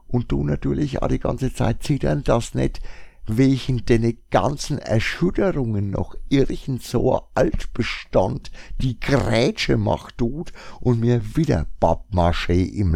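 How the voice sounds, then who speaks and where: A man's voice: mid-range voice, vocal fry, warm tone, no echo, studio quality. A man with a Franconian German accent chatting casually to his listeners in a rambling blog monologue, full of wry asides. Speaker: shop blogger.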